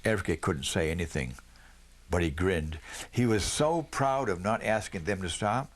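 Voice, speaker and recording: A man's voice: deep voice, animated, a little slow. A man narrating a children's story aloud from a script, with the odd small stumble over the words. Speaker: audiobook reader.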